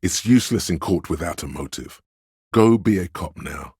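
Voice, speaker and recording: An actor playing a stern, commanding inner voice of police authority in a video game, giving imperious, clipped orders. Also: male voice, deep voice, animated, moderate pace, darkish tone, breathy edge, no echo, studio quality, exaggerated voice. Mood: urgent, commanding. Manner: imperious, clipped orders, addressing listener as recruit. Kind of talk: video game character narration.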